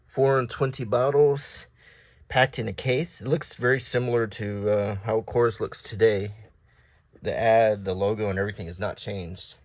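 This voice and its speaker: Male host, lowish voice, browsing print aloud with offhand commentary, very dry intimate sound. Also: fairly even intonation, moderate pace, no echo. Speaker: host reading an old newspaper. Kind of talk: unintentional ASMR read-aloud with casual commentary.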